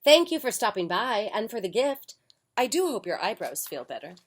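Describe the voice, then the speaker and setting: A woman's voice: mid-range voice, big pitch swings, normal speed, breathy edge. Cheerful, courteous mom in a storybook, read by a woman. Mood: gracious. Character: polite, cheerful mother and hostess.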